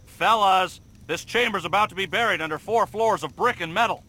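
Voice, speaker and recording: High, sharp-voiced federal agent. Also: male voice, a little fast, heavily acted, low-quality recording. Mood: tense, urgent. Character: urgent, tense male federal agent. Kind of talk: video game cutscene acting.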